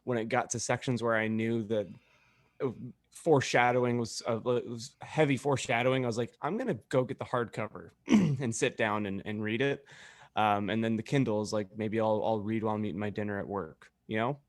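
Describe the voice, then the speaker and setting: A man's voice: on the low side, brisk, dry recording, studio quality. A man co-hosting a casual podcast, talking in a wry, teasing, joking way. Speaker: podcast co-host. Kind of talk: casual group banter.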